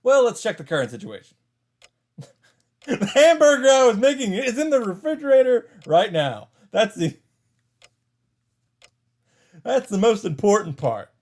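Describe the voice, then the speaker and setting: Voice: medium pitch, very animated, unhurried, pauses often, darkish tone. A commentator reading a visual novel story aloud and reacting to it. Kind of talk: narrated visual novel read-through with reactions. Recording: music under the voice. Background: game music.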